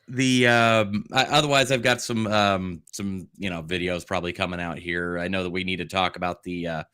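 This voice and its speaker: A man co-hosting a stream in casual chat, with halting, hesitant phrasing. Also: low-pitched, steady tone, bright, forceful tone, smooth. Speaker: stream co-host, video creator.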